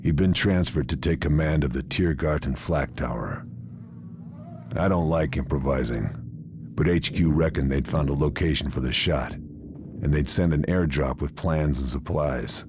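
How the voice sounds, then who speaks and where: Casting: hardened American OSS sniper narrator, war game. Extremely low voice, laconic and world-weary, over noisy ambience. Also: male voice, unhurried. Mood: terse, resolute. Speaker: narrator and protagonist.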